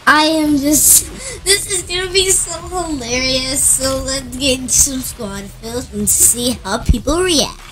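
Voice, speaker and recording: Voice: high-pitched, slow, poor audio. A gamer with a woman-like voice through a voice changer, chatting with teammates and commentating while playing. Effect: voice changer raising the voice. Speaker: gamer recording a trolling video. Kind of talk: gaming commentary and chat with teammates. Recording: loud music behind.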